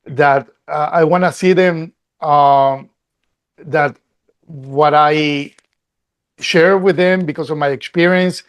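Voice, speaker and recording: A man's voice: fairly high pitch, steady tone, takes it slowly, clear, resonant tone. A middle-aged man from Colombia, a company CEO answering interview questions earnestly, often restarting and repeating words.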